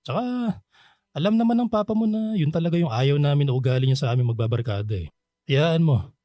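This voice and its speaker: An actor playing a kindly, bashful middle-aged Filipino bachelor in a radio drama, talking gently and considerately. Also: male voice, a little high, lively intonation, brisk, dry recording, close to an everyday voice. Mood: reassuring.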